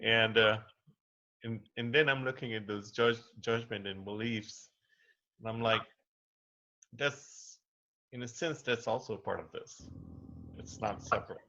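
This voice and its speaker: Man, low gravelly voice, candid rambling share, lo-fi call sound. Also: measured pace, pauses often, pushed and bright. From India. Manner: candid, rambling, laughs easily. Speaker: participant. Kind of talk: personal sharing. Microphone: video call. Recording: lo-fi web call audio.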